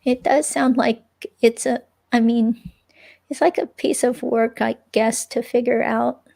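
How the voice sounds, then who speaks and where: A woman reading the part of an evasive, unsure ex-girlfriend in a police interview, with a creaky, hesitant, rambling delivery. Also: on the high side, fairly even intonation, measured pace, a little mellow. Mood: hesitant, vague. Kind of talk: dramatic reading of an interview transcript, conversational.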